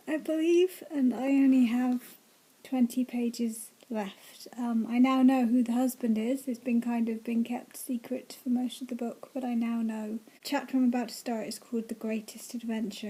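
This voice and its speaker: A woman's voice: on the high side, level tone, unhurried, dark tone, breathy edge, poor audio. A woman giving casual, gushing reactions as she reads through a book, with an enthusiastic way of talking.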